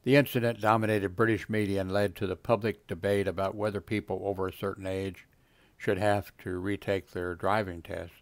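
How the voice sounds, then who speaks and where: A gravelly-voiced man in his seventies talks through what he finds on his computer screen, drifting from point to point with plenty of "uh" and "you know". His voice sits fairly low, and the recording is close and dry, with no room sound. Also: little pitch movement, a little fast, no echo. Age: 70s. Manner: meandering, many fillers and trailing asides. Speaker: video blogger. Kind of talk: rambling casual commentary while reading web pages. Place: home desk with computer.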